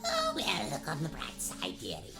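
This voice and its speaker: A woman's voice: deep voice, wildly swooping pitch, normal speed, loud music behind the voice, voice pushed down, exaggerated voice. A woman voicing a crabby, half-blind old witch in a comedic radio play, sly, blustering and snappish. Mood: sly, wheedling. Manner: wheedling endearments, flustered bluster, snappish. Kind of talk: comedic radio play, gag-dub cartoon acting.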